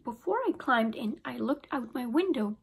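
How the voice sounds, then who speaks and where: A young woman teacher reading a children's book aloud in an expressive storytelling manner, recorded close on a phone in a small room at home. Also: a little high, moderate pace, creaky, a little hiss or hum. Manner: expressive storytelling, occasional restarts. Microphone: phone camera held close.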